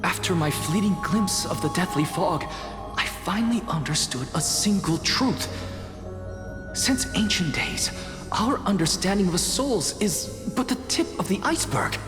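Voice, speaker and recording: A proud one-eyed young scholar. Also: male voice, high-pitched, animated, measured pace. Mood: defiant. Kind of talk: game voice acting. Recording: roomy echo with music behind.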